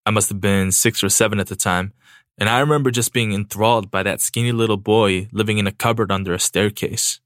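Low-voiced young man reading a reflective essay. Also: steady tone, fairly quick, a bit bright, no room sound, studio quality. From South Korea. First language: Korean. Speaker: podcast producer. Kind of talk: reading a prepared personal essay.

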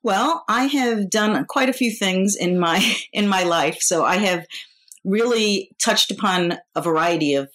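A woman's voice: mid-range voice, unhurried, fairly bright tone. An American woman in her fifties from New York, talking as a guest speaker in a casual, conversational interview. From New York, United States. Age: 50s.

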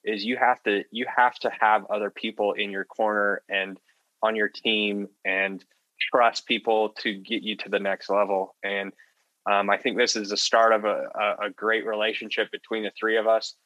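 Bright-voiced male podcast host, dry close sound. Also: fairly low pitch, little pitch movement, normal speed, no room sound, dull audio. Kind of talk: casual conversational podcast wrap-up.

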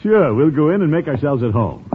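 An American actor playing a fussy, pompous middle-aged uncle in an old-time radio comedy, blustering and stammering theatrically. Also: male voice, a little high, big pitch swings, a little fast. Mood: scheming. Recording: tinny, noisy old radio recording.

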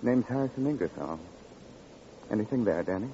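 An American actor playing a cagey, folksy lonely-hearts club operator, evasive and familiar, in an old-time radio crime drama. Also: male voice, on the low side, lively intonation, fairly quick, vocal fry, warm tone. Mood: confiding. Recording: muffled, noisy vintage radio recording.

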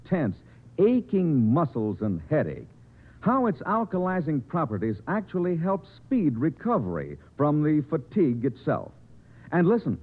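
A man reading a 1950s radio advertisement as a polished, emphatic salesman. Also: fairly high pitch. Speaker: commercial announcer. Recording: muffled vintage 1950s radio recording.

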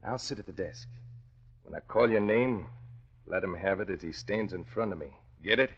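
A low-voiced, callous gangster boss schemes in tough slang, in the manner of 1940s radio crime drama. The recording is old and dull. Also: male voice, normal speed, husky. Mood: urgent scheming.

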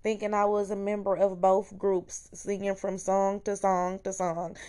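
A young woman with a hoarse voice hosts her own review podcast, talking casually and enthusiastically with fillers and restarts. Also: medium pitch, flat delivery, unhurried, darkish tone. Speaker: podcast host. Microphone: home recording, voice message style.

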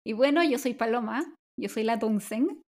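A woman's voice: fairly high pitch, moderate pace, a little mellow. A woman from Chile with a Chilean Spanish accent, co-hosting a casual podcast chat in a chatty, upbeat way, with frequent restarts. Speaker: podcast co-host.

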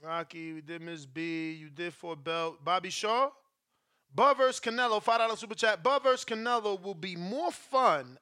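A man with a high, bright, sharp-edged voice talks boxing in a loose, slangy show chat. He argues with animation. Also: moderate pace, clear, resonant tone. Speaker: podcast co-host.

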